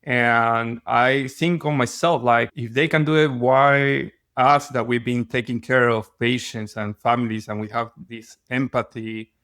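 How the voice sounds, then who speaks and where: Halting man from Peru, dry close sound. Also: medium pitch, steady tone, a bit bright, dry recording. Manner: halting, with restarts and non-native phrasing. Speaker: guest physician. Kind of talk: casual podcast interview.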